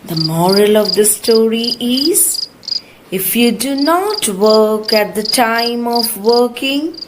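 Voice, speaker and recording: A woman narrating a scripted story for young children, slowly and simply, as a storyteller. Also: on the high side, animated. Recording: loud noise of birds and crickets behind.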